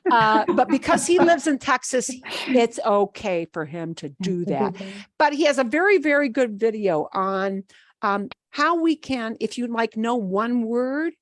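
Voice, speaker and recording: A woman's voice: a little high, lively intonation, moderate pace, no echo. A woman Spanish teacher with a Midwestern American accent, patiently explaining a lesson over a video call with fillers and restarts. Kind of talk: online class lesson.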